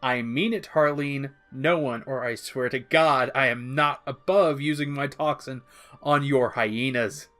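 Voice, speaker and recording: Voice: medium pitch, unhurried, fairly bright tone, soft background music, lightly acted. A narrator voicing an awkward, exasperated male villain doctor who scolds in formal, clipped phrases in a story reading. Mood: threatening.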